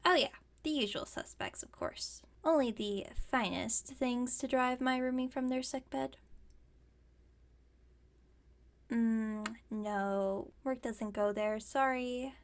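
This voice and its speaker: Casting: female performer for young werewolf roommate in comfort ASMR, higher-pitched, teasing and caring, rambles through jokes, gently bossy. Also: takes it slowly, few pauses. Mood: wry, caring. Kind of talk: ASMR roleplay, intimate comforting monologue.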